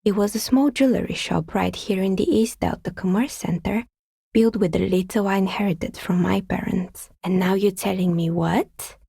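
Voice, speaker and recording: Wry, husky woman artisan. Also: medium pitch, fairly even intonation, mellow, no room sound. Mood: resigned, reflective. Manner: dry, sardonic, measured politeness. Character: wry, skeptical woman artisan dicemaker, politely world-weary. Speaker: video game character. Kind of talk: video game dialogue acting.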